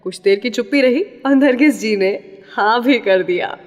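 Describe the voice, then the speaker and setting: A woman's voice: a little high, moderate pace, darkish tone. An Indian woman hosting a radio show, telling scripted stories and introducing songs. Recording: long reverb, music behind. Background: music.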